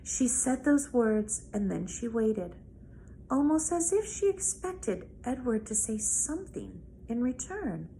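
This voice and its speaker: Higher-voiced woman reading aloud, creaky edge. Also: big pitch swings, slow, mellow, low-quality recording. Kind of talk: expressive read-aloud of a children's novel. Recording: noticeable background noise.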